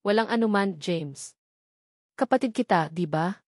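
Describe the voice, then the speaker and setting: A woman with a Filipino accent voicing a gentle, forgiving young sister in an audiobook, earnest and tender. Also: medium pitch, animated, unhurried, no room sound, clean studio sound. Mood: warm, affectionate. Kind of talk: audiobook character dialogue.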